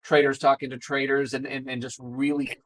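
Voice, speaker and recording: A man's voice: medium pitch, level tone, a little fast, a bit bright. A man hosting a casual interview, encouraging and reflective, with repeated stumbling starts. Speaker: interviewer, host.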